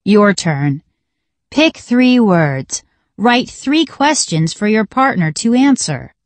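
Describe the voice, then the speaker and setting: A woman reading scripted educational narration for children in a deliberate, clearly enunciated way. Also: medium pitch, very animated, dry recording.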